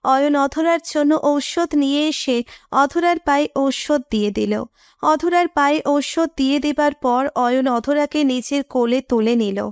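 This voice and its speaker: A woman's voice: high voice, measured pace, no room sound, clean studio sound. A woman narrator reading a scripted romantic story.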